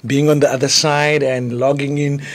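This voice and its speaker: A middle-aged man, a pastor speaking on a radio devotional show in a small studio, his voice hoarse from travel, talking fluently with restarts and easy laughs. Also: mid-range voice, flat delivery, moderate pace. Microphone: studio mic, headphones.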